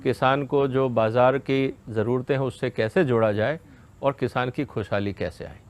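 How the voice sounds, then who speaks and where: A man's voice: medium pitch, steady tone, normal speed. A man giving an educational question-and-answer explanation, didactic and step by step, often checking that listeners follow. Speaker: expert explaining a law to farmers.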